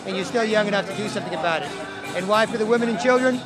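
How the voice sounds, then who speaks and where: Male, high voice. Informal activist talk with music running behind. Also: racing, over a noisy crowd, rough recording. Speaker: activist speaker and interviewee. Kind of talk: impassioned street speech and informal interview.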